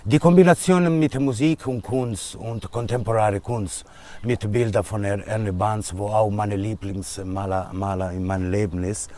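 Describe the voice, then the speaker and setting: Low-voiced Italian man, halting German. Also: dark tone. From Italy. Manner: halting, searching for words in German. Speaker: DJ and music producer. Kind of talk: casual interview answers.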